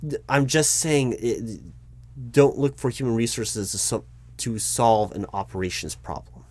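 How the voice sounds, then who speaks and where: A man playing a flustered human resources manager in an audio roleplay, explaining insistently to his boss in a halting, repetitive way. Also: medium pitch, fairly even intonation, a little mellow, close to an everyday voice. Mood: insistent, lecturing. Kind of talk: one-sided improvised audio roleplay, loud spoken.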